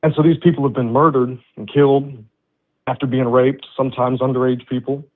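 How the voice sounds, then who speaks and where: A man in his thirties comes through thin and tinny, like a phone line. He talks in a measured, halting way and often restarts his sentences. His voice sits at an ordinary pitch. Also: level tone. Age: 30s. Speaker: caller. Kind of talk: casual debate on a call. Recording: tinny phone-like call audio.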